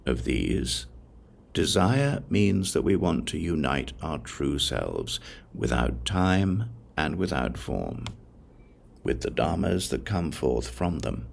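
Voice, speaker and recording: A man reading a religious text aloud as a scripted audiobook, in a measured, solemn way with deliberate pauses. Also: a bass voice, gravelly. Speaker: audiobook narrator.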